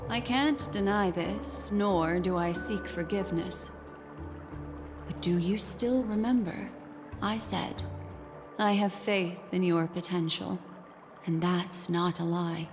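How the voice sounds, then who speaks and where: An actress playing a poised, mysterious, soothing confidante in a video game, with a measured, coy and formal delivery. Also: female voice, fairly low pitch, steady tone. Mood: earnest, soothing. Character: poised, mysterious woman, soothing fortune-teller-like confidante and schemer. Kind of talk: scripted video game voice acting. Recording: long reverb, music behind. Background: game music.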